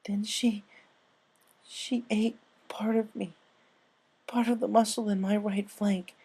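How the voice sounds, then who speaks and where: Storybook reading: male narrator playing a broken young pony mare, raised pitch, halting, tearful, haunted delivery. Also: little pitch movement, mellow, husky, full character voice. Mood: shaken, haunted. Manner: halting, sobbing, stammering delivery.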